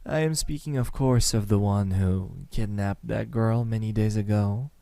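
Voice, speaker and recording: A young man playing a menacing student kidnapper in an ASMR roleplay, calm and smug, speaking softly and deliberately into a close microphone. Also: on the low side, no room sound. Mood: smug, scornful. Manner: soft, deliberate, breathy sighs, dropping into whispers.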